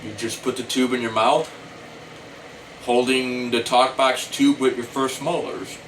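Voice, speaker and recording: A middle-aged man with an American accent gives an informal tutorial to camera, explaining things deliberately and repetitively. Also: mid-range voice, flat delivery, a bit bright. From United States. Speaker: talk box player giving tutorial. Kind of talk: informal instructional talk to camera. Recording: distant mic, faint air-conditioner hum.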